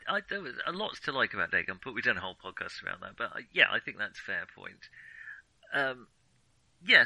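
A man's voice: on the low side, bright, forceful tone, no echo, rough recording. A man in his forties co-hosting a podcast, talking films casually in a hesitant, self-questioning, rambling way. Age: 40s. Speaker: podcast co-host. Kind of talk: casual film discussion.

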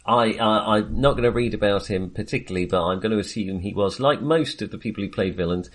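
A man's voice: on the low side, fast talker. A man co-hosting a film podcast, chatting casually in a hesitant, wandering way with self-amused asides.

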